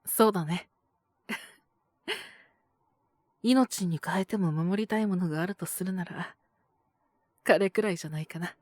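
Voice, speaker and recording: Woman doing a calm older-brother type guy, gentle n measured, bit teasing, audio drama, super dry recording. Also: lowish voice, no room sound. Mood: soft, apologetic. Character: calm, protective adult male bar owner mentoring a youth. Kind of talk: Japanese situational audio drama acting.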